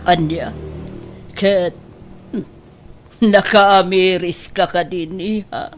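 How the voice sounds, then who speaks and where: A woman's voice: fairly low pitch, fairly even intonation, slow, a little hiss or hum. A woman acting a stern middle-aged mother in an audio drama, with a Filipino accent. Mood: scolding. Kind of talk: Ilocano radio drama acting.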